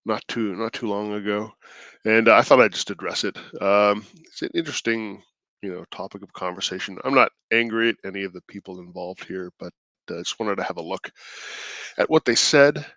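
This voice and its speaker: Guy with a stuffy cold voice, lowish, dry close sound, chatting casually and kinda halting. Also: fairly bright tone, no room sound. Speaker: indie creator and channel host. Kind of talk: casual commentary to camera.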